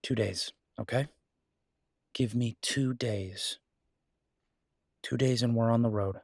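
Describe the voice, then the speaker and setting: A man playing a devoted, stubborn, protective werewolf detective in an intimate audio roleplay, speaking earnestly and insistently with emphatic pauses. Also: medium pitch, steady tone, gravelly, dry recording, clean studio sound. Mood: calm, planning. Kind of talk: dramatic roleplay audio acting, intimate one-sided dialogue.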